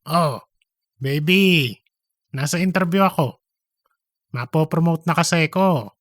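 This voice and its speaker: A woman's voice: sits low, measured pace, no room sound, studio quality, pitched below the performer's own voice. A woman voicing a careless, sweet-talking male office womanizer in a comedic audiobook. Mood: flirty, eager. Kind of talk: comedic audiobook character acting.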